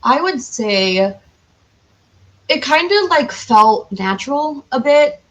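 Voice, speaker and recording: A woman's voice: sits high, lively intonation, takes it slowly, poor audio. A woman from the United States chatting casually as a podcast guest, rambling and correcting herself as she goes. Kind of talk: casual chat.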